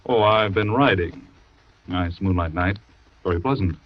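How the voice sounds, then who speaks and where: A smooth, scheming husband. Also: male voice, low voice, unhurried. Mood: offhand. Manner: casual, offhand, evasive. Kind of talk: 1940s radio acting. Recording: dull lo-fi old radio recording.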